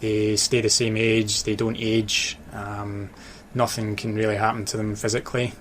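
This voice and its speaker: Low, even-voiced Scottish man. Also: normal speed. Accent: Scottish, mix of Dundee, Glasgow and Edinburgh. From Dundee, Scotland. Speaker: interview guest, author and sports journalist. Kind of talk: casual radio interview chat. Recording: clear background noise.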